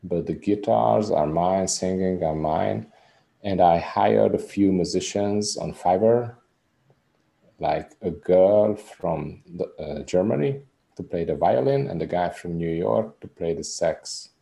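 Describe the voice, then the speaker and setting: Deep gravelly man with a Hungarian accent. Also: slow pace, dark tone. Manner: earnest, rambling explanations with restarts. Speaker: musician guest. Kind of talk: casual interview chat.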